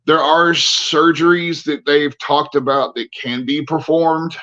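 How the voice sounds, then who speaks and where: A man's voice: fairly high pitch, steady tone, slow pace, bright, forceful tone, highs cut off. A man in his forties, an army veteran guest on a podcast, talking candidly and earnestly with many restarts. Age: 40s.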